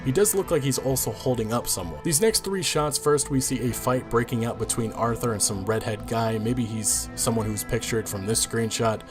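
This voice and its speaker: A man's voice: mid-range voice, moderate pace, few pauses. A man giving breakdown commentary on a video game trailer. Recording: music behind the voice. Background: music.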